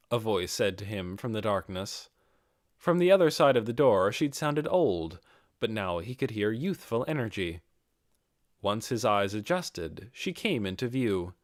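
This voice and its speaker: A man narrating a scripted fantasy audiobook with expressive, dramatic storytelling. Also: lowish voice, dry recording, clean studio sound.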